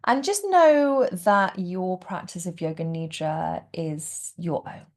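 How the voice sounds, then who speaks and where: Female meditation guide, lowish gentle voice with heavy fry, hushed at phrase ends. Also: slow. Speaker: yoga nidra teacher guiding relaxation.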